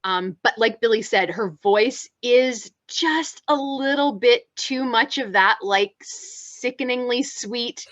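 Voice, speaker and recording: A chatty woman co-hosting a relaxed panel talk, with a low voice she considers masculine, often restarting her sentences. The sound is dry and close, a little muffled. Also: takes it slowly, hardly any pauses, no echo. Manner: chatty, many fillers and restarts. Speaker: podcast co-host. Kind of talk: casual panel discussion.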